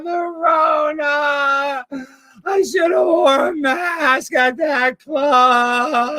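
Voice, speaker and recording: A man's voice: extremely high pitch, voice pushed up, heavily acted. A man playing an over-the-top sick man who gasps, panics and wails melodramatically. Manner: melodramatic wailing and shouting.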